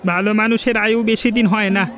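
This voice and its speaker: A high-voiced, fast, defiant village trader. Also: male voice, level tone, a bit bright, some chatter behind, a little hiss or hum. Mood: resolute. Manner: blunt, resolute. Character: defiant village market trader rallying others. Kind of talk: cartoon folk-tale voice acting.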